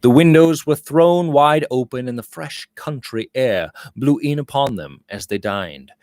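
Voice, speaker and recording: A man narrating a literary novel as an audiobook reader, with deliberate, expressive phrasing. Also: mid-range voice.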